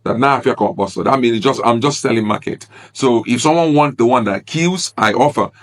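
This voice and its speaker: A man's voice: medium pitch, a little fast. A man, an Igbo speaker, giving earnest, grieved commentary on a video clip and repeating his points. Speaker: reaction video host.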